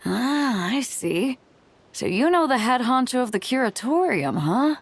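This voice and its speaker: A woman's voice: on the high side, sing-song, a little slow, exaggerated voice. An actress playing a bold, hearty, easygoing woman fleet captain in an anime-style video game, casual and self-assured. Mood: welcoming, amused. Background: faint background music.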